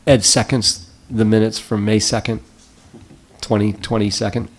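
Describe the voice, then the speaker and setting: A man's voice: fairly low pitch, flat delivery. Guy running a town council meeting, friendly, keeps saying very good. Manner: affable, brisk procedural patter with repeated "very good". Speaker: meeting chair, town commissioner. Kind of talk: chairing a public meeting.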